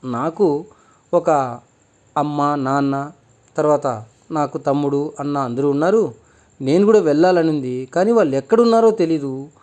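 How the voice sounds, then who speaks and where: A man voicing a gentle, forgetful talking crocodile in a children's story, plain-spoken and reassuring. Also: on the high side, natural voice.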